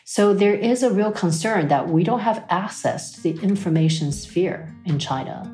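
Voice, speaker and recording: Deep-voiced woman explaining like an academic. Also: animated, moderate pace, mellow, soft background music. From Taiwan. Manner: thoughtful academic explaining, frequent "right?" and "you know". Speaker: guest expert. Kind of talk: interview answers.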